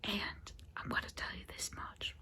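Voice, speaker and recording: A woman's voice: normal speed, pushed and bright, lightly acted. Woman playing a whispering, folksy vampire saleswoman. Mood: mock-grave, condescending. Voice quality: breathy whisper. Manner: rambling, folksy endearments, confiding. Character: chatty female vampire saleswoman, folksy, blunt, sweetly patronizing. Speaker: consultant selling vampire transformations. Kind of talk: soft-spoken comedic ASMR roleplay.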